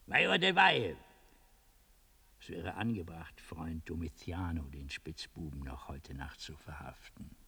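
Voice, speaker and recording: An actor playing an older tyrant general in a radio drama, raspy and commanding, given to furious outbursts. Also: male voice, low voice, animated, a little slow, pushed and bright, heavily acted. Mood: scheming, stern. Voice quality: raspy, creaky. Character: volatile tyrant general, scheming, cold, exploding in rage.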